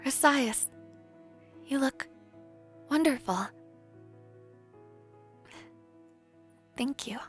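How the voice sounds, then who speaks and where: A woman playing a gentle, devoted young princess in an audio roleplay, speaking tenderly and earnestly in a soft, measured way. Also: high-pitched, faint music behind.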